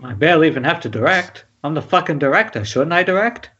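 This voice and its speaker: Man playing a husky, sarcastic film director. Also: a little high, expressive, fast talker, a bit bright. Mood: impatient, defiant. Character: hungover, sarcastic, reckless male film director. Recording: dull lo-fi internet call.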